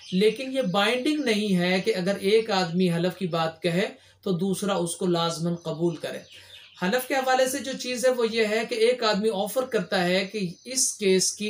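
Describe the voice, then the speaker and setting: A man with a Pakistani accent explains points of law in an informal lecture, repeating and rephrasing his key points. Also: high-pitched. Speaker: lawyer presenting legal explainer videos.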